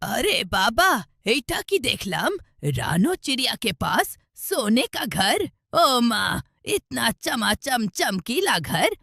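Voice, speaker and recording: A woman's voice: a little high, big pitch swings, slow pace, gravelly, a bit bright, no room sound, studio quality, voice pushed down, full character voice. A woman voicing a cunning, greedy male cartoon parrot with a Bengali accent and a drawling, exclaiming manner. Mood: covetous amazement.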